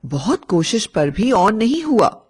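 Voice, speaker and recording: धीमी गति में कहानी सुनाती महिला. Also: female voice, mid-range voice, big pitch swings, a little slow, a little mellow, breathy edge. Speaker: storyteller. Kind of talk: scripted fairy-tale narration.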